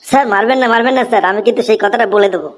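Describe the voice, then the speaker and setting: A woman's voice: fairly high pitch, racing, lightly acted. A woman voicing a cheeky, mischievous schoolboy in a cartoon comedy, impudent and mock-polite. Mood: smart-mouthed. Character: cheeky mischievous schoolboy, teasing and smart-mouthed.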